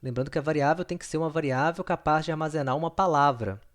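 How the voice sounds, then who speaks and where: A man's voice: on the high side, fast. A man teaching a recorded programming lesson, patient and repetitive, often checking in with his students. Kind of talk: recorded screen-share lesson.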